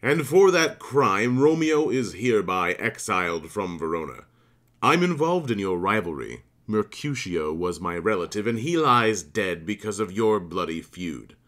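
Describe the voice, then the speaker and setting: A stern ruling prince voiced by a man in a Shakespeare audio drama. His authoritative voice sits fairly low but moves animatedly as he pronounces judgment. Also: animated, a little slow, fairly bright tone.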